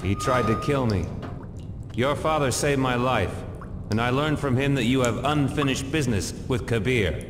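An actor playing a cool, determined action hero in a video game, with terse, deliberate delivery. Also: male voice, medium pitch, natural voice. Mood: calm, businesslike. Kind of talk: video game cutscene acting. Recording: echoey, long reverb, music underneath.

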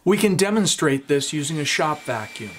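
A man in his fifties, a woodworking instructor, giving a steady scripted explanation in a small home workshop. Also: a little high, normal speed, slight background noise. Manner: steady, explanatory, gestures while talking.

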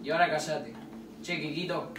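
A man's voice: a little high, normal speed, lightly acted. A man with an Argentine accent playing a grumpy, curt soldier guarding supplies, in stage play dialogue. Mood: irritated. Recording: echoey distant room recording, clear noise.

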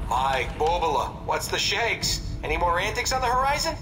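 An actor playing an eccentric, manic paranoid ally in a video game, theatrical and slangy, swinging between chirpy and menacing. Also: male voice, high-pitched, very animated, normal speed, distant mic, over music, poor audio. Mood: manic, playful. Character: eccentric, manic paranoid adult male ally, gleefully unhinged. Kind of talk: video game cutscene acting.

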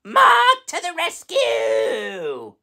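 A man's voice: very high voice, huge pitch swings, extremely slow, gravelly, bright, forceful tone, shouting, full character voice. A man voicing an eager, cheerful digger from a children's cartoon.

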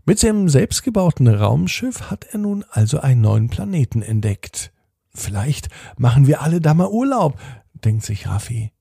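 A man narrating a bedtime story in a slow, soothing way, speaking directly to the child listening. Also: mid-range voice, very animated, creaky, dark tone, husky, dry recording, clean studio sound.

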